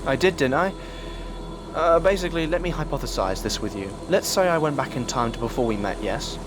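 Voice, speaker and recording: Man acting as a measured, analytical time traveller. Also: a little high, expressive, background noise, close to an everyday voice. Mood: explanatory. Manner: measured, formal explaining. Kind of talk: audio drama acting.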